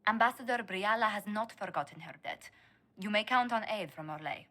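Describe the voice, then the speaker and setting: A woman playing a formal, measured female ambassador who announces judgments in a video game. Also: mid-range voice, sharp tone, close to an everyday voice. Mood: composed. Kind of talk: scripted video game dialogue.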